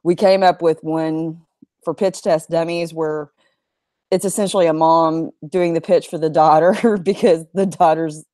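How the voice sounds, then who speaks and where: A woman's voice: low-pitched, fairly even intonation, measured pace, dark tone, dry recording. A woman co-hosting a casual chat, teasing her partner and laughing easily. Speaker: co-host.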